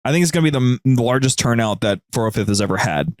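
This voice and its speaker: A man's voice: mid-range voice, expressive, fast talker, no echo, clean studio sound. A man chatting casually as a podcast guest, enthusiastic and slangy in how he talks. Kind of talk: casual chat.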